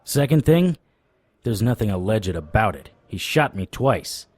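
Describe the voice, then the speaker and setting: Wry, low-voiced hard-boiled detective. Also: male voice, a little slow, dry recording. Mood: persuasive, joking. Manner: wry, deadpan, sardonic. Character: wry, tough male supernatural private investigator, hard-boiled narrator. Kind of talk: noir audio drama narration and dialogue.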